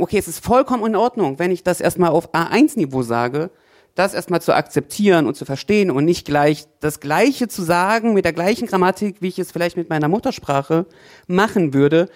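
A man's voice: fairly high pitch, lively intonation, fairly quick. A man who works as a language teacher, explaining his view thoughtfully in a panel discussion.

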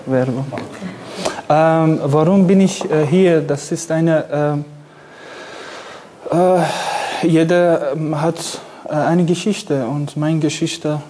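Man, Kurdish accent, author speaking to an audience, slow halting German, dark mellow voice, faint room noise. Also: a little high, steady tone. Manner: halting, searching for words, self-deprecating. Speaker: author and satirist. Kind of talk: reading event talk. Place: event venue with audience.

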